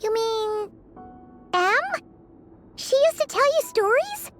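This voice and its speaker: A tiny, curious sprite girl from a fantasy game. Her voice is extremely high, squeaky and childlike, swinging animatedly as she exclaims and wonders, with music underneath. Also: very animated, takes it slowly, exaggerated voice. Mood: curious, pondering. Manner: bubbly, inquisitive, exclaiming.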